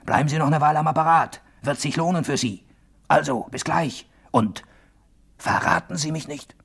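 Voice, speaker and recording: An actor playing a cocky, chatty amateur sleuth who confides casually and boastfully in the listener in a radio crime play. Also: male voice, a little high, normal speed, gravelly, a bit bright. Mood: conspiratorial.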